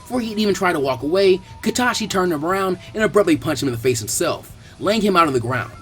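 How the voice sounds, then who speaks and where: A man's voice: sits high, lively intonation, fast talker, soft background music. A man narrating fan fiction as a scripted story reading.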